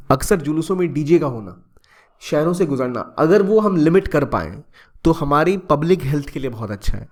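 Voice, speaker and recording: A young man from India talks students through a current-affairs issue in a relaxed, conversational way, slipping English phrases into his sentences. His voice sits a little higher than most men's. He often stops and restarts a word to correct himself. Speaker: exam-prep educator. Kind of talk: explanatory current-affairs talk.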